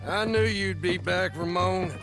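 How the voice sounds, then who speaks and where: An actor playing a smug, wry small-town sheriff in a western game. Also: male voice, high voice, a little slow, over music.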